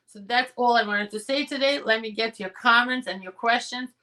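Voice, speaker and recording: Woman, 40s, immigration lawyer, hoarse, losing her voice, bright tone, casual direct-to-viewer explaining, webcam in home office. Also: on the high side. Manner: quick, conversational, addresses viewers directly with "right?" and "okay?". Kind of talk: explanatory video talk to viewers.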